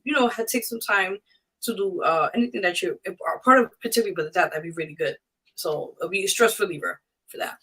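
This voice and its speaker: A woman's voice: on the low side, a bit bright, husky, low-quality recording. A woman hosting a casual interview chat in an encouraging, supportive manner. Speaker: radio show host.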